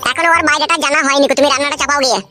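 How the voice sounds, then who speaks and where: A man's voice: very high-pitched, steady tone, very fast. A man voicing a generous, inquisitive village householder in a comic cartoon, insistent and chatty. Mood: hospitable. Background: music.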